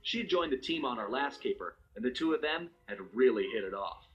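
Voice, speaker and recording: An American man voicing a suave, confident master thief, narrating a video game cutscene in a smooth, wry, unhurried storytelling manner. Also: medium pitch, lively intonation, fairly bright tone, muffled recording, natural voice. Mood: fond, easygoing. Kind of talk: scripted first-person cutscene narration. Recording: music under the voice.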